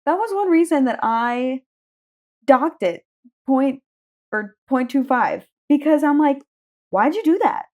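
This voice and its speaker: Casting: woman with a fairly high voice, effusive podcast co-host, informal and conversational. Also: animated, takes it slowly, long pauses, darkish tone. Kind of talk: casual book chat.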